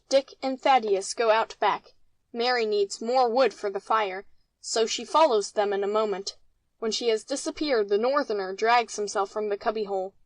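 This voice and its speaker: A woman's voice: a little high, no echo, rough recording. A woman reading out a play's stage directions as scripted narration.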